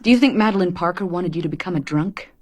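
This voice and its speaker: A woman acts out a heroine in a detective radio play, shaken yet determined. Her voice is on the lower side, and she speaks with earnest, pleading tenderness. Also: quick pace, a little mellow, close to an everyday voice. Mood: firm, encouraging. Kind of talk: radio crime drama acting.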